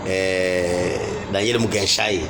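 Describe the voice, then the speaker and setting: A Congolese lawyer being interviewed, speaking in a measured, hesitant way with many fillers. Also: male voice, deep voice, steady tone. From Congo (Kasai Central). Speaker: lawyer guest. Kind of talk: interview answers. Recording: clear background noise.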